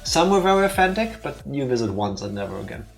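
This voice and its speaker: A man's voice: medium pitch, sing-song, fast, creaky. A man, co-hosting a let's play, who rambles, corrects himself mid-sentence and teases his co-host. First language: Dutch. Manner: rambling, self-correcting, teasing. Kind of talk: casual chat over gameplay. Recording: faint music behind.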